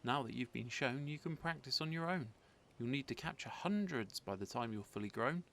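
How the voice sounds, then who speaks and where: A man's voice: medium pitch, animated, moderate pace, fairly bright tone, a little hiss or hum. A man voicing a bossy but encouraging female dragon schoolteacher in a storybook, with a commanding, declamatory manner. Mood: instructing.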